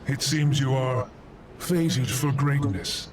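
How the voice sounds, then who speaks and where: A man's voice: mid-range voice, slow, lightly acted. A man playing a solemn mystical sage in a video game, grave and portentous, the voice sounding slightly distant. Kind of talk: dramatic video game cutscene acting.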